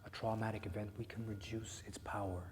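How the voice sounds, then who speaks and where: An actor playing a grieving widower who talks bluntly and tenderly, swearing often and stumbling over restarts. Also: male voice, medium pitch, darkish tone, in a large hall, slight background noise, close to an everyday voice. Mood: hushed pleading. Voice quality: profane, halting with repeated restarts. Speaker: widower speaking to a grieving listener. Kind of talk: emotional dramatic monologue.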